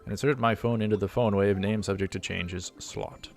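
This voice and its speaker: Male let's play host, low register, halting read-aloud narration with faint music underneath. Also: steady tone, vocal fry. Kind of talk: reading a visual novel aloud. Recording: music behind the voice.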